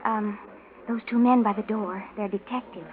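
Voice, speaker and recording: An actress playing a polite, deferential, hesitant young cashier in a 1940s radio drama. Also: female voice, medium pitch, steady tone, moderate pace, close to an everyday voice. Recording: tinny 1940s radio recording.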